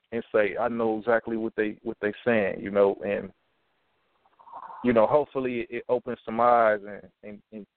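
A man's voice: medium pitch, dry recording. Young guy from milwaukee, chill, stumbles and restarts a lot, sounds like a phone call, dead dry. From Milwaukee, USA. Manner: relaxed, halting with many restarts and "you know". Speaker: rapper and actor guest. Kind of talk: casual interview talk.

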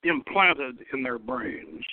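A man hosting a radio show, giving a dramatic reading of satirical fiction in a halting way, stumbling and rereading phrases. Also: medium pitch, big pitch swings, no room sound. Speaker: radio show host, author reading own novel. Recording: thin phone-like radio line.

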